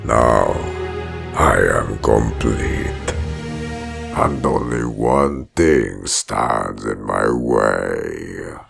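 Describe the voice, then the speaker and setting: A man playing a huge, menacing, obsessed prison-bred villain in a comic dub, narrating with slow, grandiose deliberation in a rumbling, gravelly voice. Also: music in the background, full character voice. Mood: ominous, determined. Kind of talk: dramatic first-person comic dub narration.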